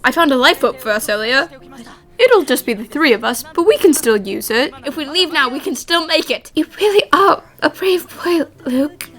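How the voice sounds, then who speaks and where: Woman as brave young boy, high and bright, earnest, emotional, polite, anime fan dub. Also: lively intonation, moderate pace. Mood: urgent, determined.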